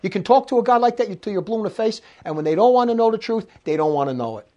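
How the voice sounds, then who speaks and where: A man preaching in a recorded lecture, his voice pitched high for a man. He talks emphatically and slows down to read scripture aloud. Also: lively intonation, mellow, dull audio.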